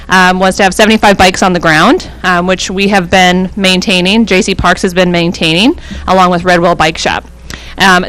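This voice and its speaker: A woman speaks into a handheld mic in a council chamber, explaining a local program in a casual, friendly way. Her pitch sits in the middle, and she pauses with ums as she goes. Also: a bit bright, low-quality recording. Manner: informal, friendly explaining with fillers. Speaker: hospital community health coordinator. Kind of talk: public presentation at a council meeting. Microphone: handheld microphone handed to her.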